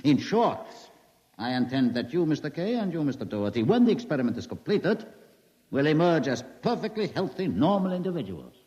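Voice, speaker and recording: An actor playing a menacing, coldly courteous mad scientist in a 1950s radio drama, speaking formally and with mock politeness. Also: male voice, fairly high pitch, very animated, a little fast, mellow. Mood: gloating. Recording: muffled vintage radio, long reverberant hall.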